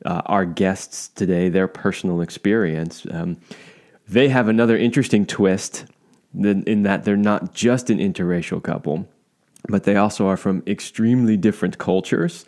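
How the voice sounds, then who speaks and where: A man with a fairly low voice co-hosts a chat podcast. He talks with eager enthusiasm and loose, rambling phrasing, circling back to restart his thoughts. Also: fairly even intonation, normal speed, darkish tone. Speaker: podcast co-host. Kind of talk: casual podcast interviewing.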